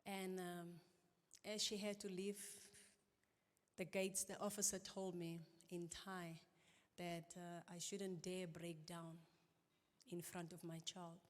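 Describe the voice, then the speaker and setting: A middle-aged woman speaks from the stage in a slightly low voice, telling her story with earnest, halting pauses. Her words ring out with the long reverb of a large church hall. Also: little pitch movement. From South Africa. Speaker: conference guest speaker. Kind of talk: personal church testimony. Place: church auditorium.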